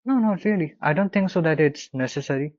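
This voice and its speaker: A man with an Indian accent, a consulting client speaking haltingly with many fillers on a video call. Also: fairly high pitch, animated, darkish tone, no echo, dull audio.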